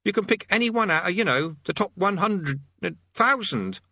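A man voicing a squeaky, eager young boy demonologist who blusters pompously and hesitates, in a storybook reading. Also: expressive, moderate pace, bright, forceful tone, dry recording, highs cut off, heavily acted. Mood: wheedling, longing. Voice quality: squeaky, high-pitched.

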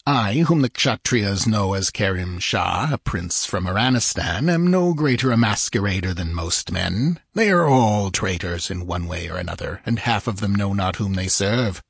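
A man reading an audiobook, voicing a spy posing as a foreign prince, speaking in a measured, confiding way. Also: fairly low pitch, animated, vocal fry, slightly rough, dry recording, studio quality, full character voice. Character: spy prince, sly and calculating.

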